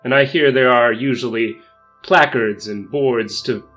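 Guy doing in character rpg talk, average pitch, measured, light background music. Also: fairly bright tone. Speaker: player in a tabletop roleplaying game. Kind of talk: improvised in-character game talk.

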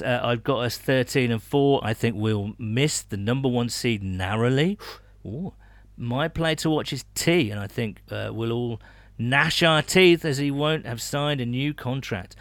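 A man co-hosting a sports podcast in casual chat, halting and often repeating his words as he goes. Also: medium pitch, no room sound. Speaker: podcast co-host.

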